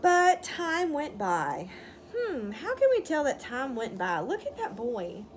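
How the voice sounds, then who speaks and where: Animated woman teacher reading aloud outdoors. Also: sits high, takes it slowly. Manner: instructive, explains words simply, checks understanding. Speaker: teacher reading to young children. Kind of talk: picture-book read-aloud with questions to children. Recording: clearly noisy outdoor ambience, wind chime. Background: birds chirping, wind chime.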